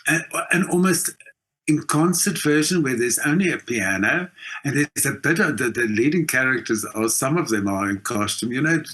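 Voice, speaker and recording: A man's voice: mid-range voice, lively intonation, normal speed, a bit bright. A middle-aged South African man talking conversationally as an interview guest, emphatic and full of anecdote. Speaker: guest, theatre performer.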